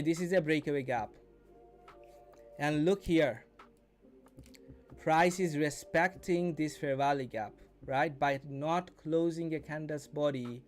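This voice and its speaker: Guy in his 20s teaching on a livestream, slightly high voice, chatty, repeats himself, bit of music in the back. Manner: repeats and rephrases, addresses viewers as folks. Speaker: day trading mentor and live stream host. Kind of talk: casual live stream commentary and teaching.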